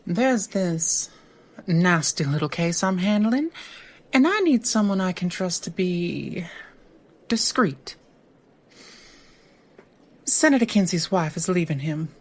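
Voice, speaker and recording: An actress playing a shrewd, teasing woman lawyer in an audio drama, talking in an unhurried, theatrical way. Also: on the low side, lively intonation. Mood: smooth persuasion. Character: sly, silky woman lawyer, old friend, teasing and shrewd.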